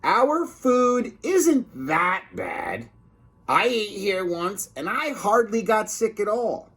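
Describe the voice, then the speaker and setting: Man playing an indignant, high-pitched cafeteria lady. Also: sing-song, slow, a bit bright, slightly rough, heavily acted. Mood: fed-up, offended. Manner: aggrieved, emphatic complaining. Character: indignant, fed-up school cafeteria lady, complaining.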